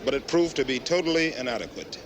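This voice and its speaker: A man's voice: mid-range voice, expressive, fast talker, close to an everyday voice. Over a noisy old film soundtrack with outdoor ambience, an actor plays a male television news reporter. He delivers a scripted report soberly and formally, in a matter-of-fact tone.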